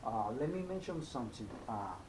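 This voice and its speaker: A man's voice: very animated, fairly quick. A man from El Salvador with a Salvadoran Spanish accent teaches math in an informal, step-by-step way, repeating himself and checking in as he goes. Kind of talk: informal video lesson. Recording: echoey room, clear rumbling noise.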